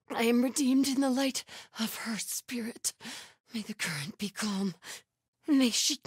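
A woman's voice: fairly high pitch, breathy edge, no room sound, studio quality, exaggerated voice. A woman playing a fierce, devout cult fighter in a video game, delivering her lines with fanatical conviction. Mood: hushed, prayerful. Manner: urgent shouted battle calls, hushed prayerful murmurs.

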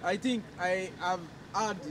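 A man, a travel vlogger, chatting casually on a city square at night, animated and repeating his phrases, filmed on a handheld camera. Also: sits high, slow pace, noisy background. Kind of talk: casual street chat. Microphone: handheld action camera.

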